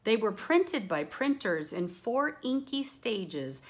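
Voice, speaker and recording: A woman reading a picture book aloud with expressive, dramatic emphasis, lingering on words and adding casual asides. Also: medium pitch, takes it slowly. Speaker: read-aloud storyteller.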